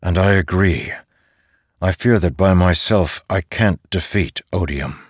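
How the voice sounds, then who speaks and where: A man's voice: sits low, unhurried, husky, no room sound, studio quality. A man playing a commanding but weary general in a fantasy audiobook, insistent, earnest and stubbornly defiant. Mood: grave resolve. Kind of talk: dramatic fantasy audiobook character.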